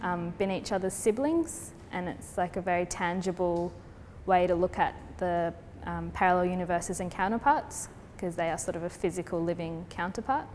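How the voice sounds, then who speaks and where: A young Colombian-born woman, explaining her artwork in a steady, thoughtful way with frequent fillers. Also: on the low side, normal speed, few pauses. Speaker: artist. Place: gallery space. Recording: long reverb with faint background noise.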